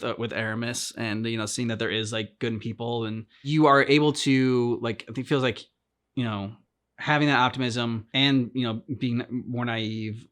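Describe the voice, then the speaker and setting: Male reaction co-host, mid-range voice, informal and unscripted, working through thoughts haltingly with repeated words. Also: mid-range voice, fairly bright tone. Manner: halting, repeats words while thinking aloud. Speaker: host of a game reaction channel. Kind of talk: casual discussion of a game's story.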